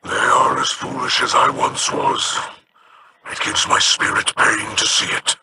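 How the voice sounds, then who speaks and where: An actor voicing a solemn alien pirate captain in a video game, speaking with measured gravity over noisy game audio. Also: male voice, medium pitch, fairly even intonation, lightly acted. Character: noble alien pirate captain, grave and earnest.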